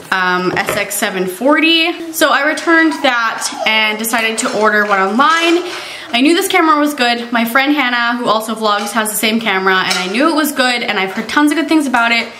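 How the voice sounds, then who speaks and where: A young woman vlogging to a handheld camera at home in a chatty, excited way, laughing now and then. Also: on the high side, moderate pace, echoey room, background noise. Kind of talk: casual vlog talk to camera. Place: home living room and kitchen, indoors. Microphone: handheld vlogging camera at arm's length.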